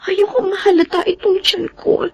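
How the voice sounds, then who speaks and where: A woman's voice: extremely high pitch, level tone, normal speed, gravelly, a little mellow. A woman acting an anxious, pleading young mother in a Tagalog radio drama. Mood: worried. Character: anxious young single mother, pregnant, eager to marry.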